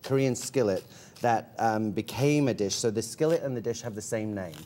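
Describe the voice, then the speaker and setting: A man from Malaysia giving a relaxed cooking demonstration on a TV show, chatty and lingering over his food descriptions. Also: fairly low pitch, moderate pace. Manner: chatty, lingering on food words with many ums. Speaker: cooking guest. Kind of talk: casual cooking demonstration chat. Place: TV studio kitchen.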